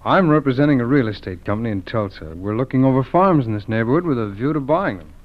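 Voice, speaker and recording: An actor playing a calm, shrewd male FBI agent in a 1940s radio crime drama, measured and courteous. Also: medium pitch, natural voice. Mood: polite, guarded. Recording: thin, narrow-band vintage radio broadcast.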